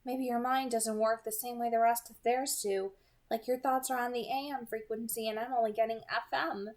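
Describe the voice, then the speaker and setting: A woman's voice: a little high, fairly even intonation, moderate pace, darkish tone, voice pushed up, close to an everyday voice. A woman voicing a teasing, guarded young supernatural woman in a novel read-aloud, with a casual, explaining manner. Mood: puzzled.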